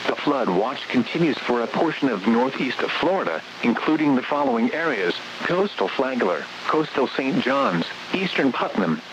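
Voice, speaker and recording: A man's synthetic voice at a middling pitch reads out automated weather bulletins. The delivery is formal and measured, like an official announcer. It comes over a radio broadcast with clearly audible hiss and noise. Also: highs cut off.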